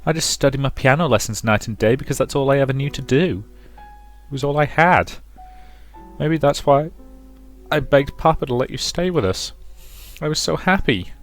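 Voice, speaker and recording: A man voicing an insecure, affectionate, tipsy young woman who speaks in a halting, earnest, confiding way in a visual novel. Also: medium pitch, lively intonation, soft background music, natural voice. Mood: sentimental.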